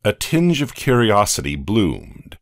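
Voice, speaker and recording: Very deep slow male narrator. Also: huge pitch swings, no echo, studio quality. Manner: slow dramatic storytelling. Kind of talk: scripted horror narration.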